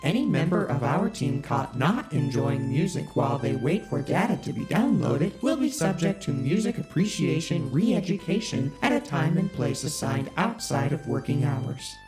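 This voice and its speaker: An actor voicing a deadpan, polite corporate spaceship computer that talks in flat bureaucratic phrases, with music underneath. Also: medium pitch, moderate pace, few pauses. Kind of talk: audio drama.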